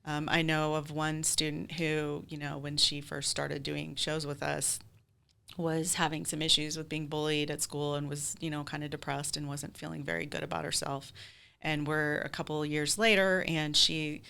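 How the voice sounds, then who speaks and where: Middle aged woman in an interview, bright clear voice, heartfelt and chatty about it. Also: low-pitched, flat delivery, normal speed. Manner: earnest, heartfelt. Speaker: community theater leader being interviewed. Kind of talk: conversational interview answers.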